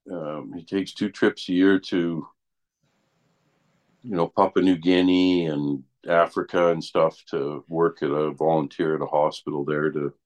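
An older man, chatting casually and haltingly, often checking in with "right?". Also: low voice, darkish tone. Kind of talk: casual chat.

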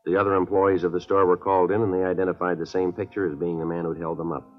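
A man acting as a terse American police detective from a 1950s radio drama, very low in pitch and quick in pace. He narrates in a clipped deadpan. The old recording is muffled. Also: soft background music, natural voice. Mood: flat, businesslike. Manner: deadpan, clipped, matter-of-fact. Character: terse, businesslike male police detective narrating his case.

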